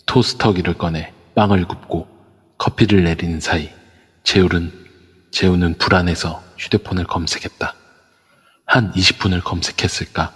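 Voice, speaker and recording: A man narrating an audio drama in a calm, measured storytelling voice with a husky tone. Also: low-pitched, long reverb tail.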